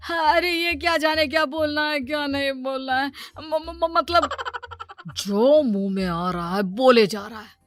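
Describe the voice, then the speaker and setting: A woman's voice: high voice, steady tone, unhurried, over music. A woman voicing an exasperated, easily annoyed husband who lectures, in a cartoon story.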